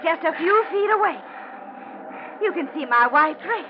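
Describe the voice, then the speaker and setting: An actress playing a vengeful young bride, slow and taunting, in a theatrical 1940s radio drama. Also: female voice, extremely high pitch, expressive. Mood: coldly taunting. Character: vengeful young bride coldly luring her enemy to death. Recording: noisy, muffled 1940s radio recording.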